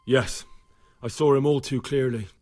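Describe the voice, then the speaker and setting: A man's voice: medium pitch, fairly even intonation, moderate pace, darkish tone, breathy edge, faint music behind, close to an everyday voice. An actor playing a dependable, protective young medieval man in a video game, speaking in a reassuring, down-to-earth way. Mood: grave, practical.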